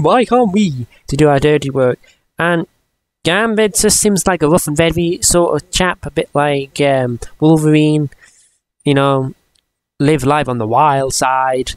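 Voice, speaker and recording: Higher-pitched young man, rambling casual vlogger. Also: dry recording, low-quality recording. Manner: rambling, chatty, halting mid-sentence. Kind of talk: casual comics review vlog.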